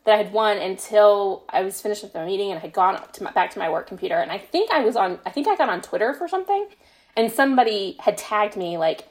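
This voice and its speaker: A woman's voice: medium pitch, animated, quick pace. A young American woman telling personal stories in casual conversation as a podcast guest, with a modest, self-deprecating manner. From United States. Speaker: podcast guest, fan fiction writer. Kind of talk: casual chat, personal anecdotes.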